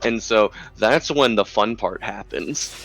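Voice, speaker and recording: A man, chatting casually and telling stories in an animated way. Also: fairly low pitch, takes it slowly, creaky, low-quality recording. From United States. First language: English. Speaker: guest chatting with the streamer. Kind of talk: casual chat.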